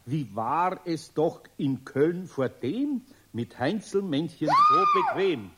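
An actor playing an older, good-natured but bewildered Bavarian carpenter in a children's radio play, husky, hesitant and given to muttering. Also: male voice, on the high side, huge pitch swings, slow pace, very noisy, exaggerated voice. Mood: thoughtful. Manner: hesitant, muttering, exclaiming in surprise.

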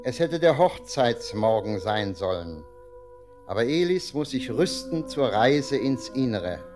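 A man narrating a radio play in a solemn, measured way. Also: medium pitch, a little mellow. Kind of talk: scripted radio-drama narration. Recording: narration over music. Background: music.

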